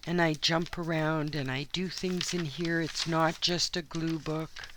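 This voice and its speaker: Hoarse woman chatting in her craft studio. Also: sits low, steady tone, unhurried, background noise. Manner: rambling, chatty, frequent asides and fillers. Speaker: craft channel host. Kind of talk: casual chat while crafting.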